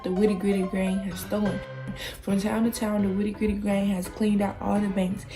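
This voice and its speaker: A dramatic radio serial narrator played by a woman tells a gangster tale at a middle pitch. Music is clearly heard behind the voice. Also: natural voice.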